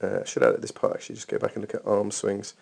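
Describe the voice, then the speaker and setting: A man's voice: lowish voice, sing-song, rapid-fire, creaky, a little mellow. A man giving informal running technique feedback over a training video as a coach, thinking aloud in a hesitant way.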